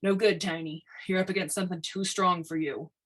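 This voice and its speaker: A plain-spoken older man voiced by a woman, the fatherly veteran of a work crew in a science fiction storybook. He is steady, wry and persuasive, with a husky edge. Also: on the low side, a little fast, low-quality recording. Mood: firm.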